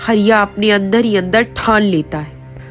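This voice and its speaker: A woman's voice: medium pitch, a little slow. A woman narrating a folk tale as a dramatic scripted story. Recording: faint music behind the voice.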